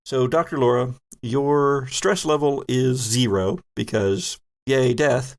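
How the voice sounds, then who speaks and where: A man acts as game master for a tabletop role-playing game. His voice sits at a medium pitch, and he narrates vividly, moving between scene-setting and rules. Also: slow pace.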